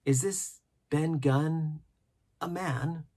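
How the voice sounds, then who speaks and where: Man reading as a husky, wry gentleman ship's doctor. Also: fairly high pitch, extremely slow, voice pushed up, heavily acted. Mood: wry, confiding. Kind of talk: storybook character reading.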